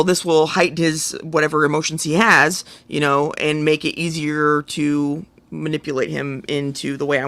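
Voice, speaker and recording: A man's voice: on the high side, fairly bright tone, no echo. A man co-hosting a podcast, giving casual opinions in a tentative way with many qualifications. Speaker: podcast co-host. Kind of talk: casual discussion and review.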